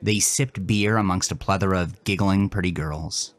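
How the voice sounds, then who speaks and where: A man narrating a scripted horror story intensely, dropping to a hush in grim moments. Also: deep voice, normal speed.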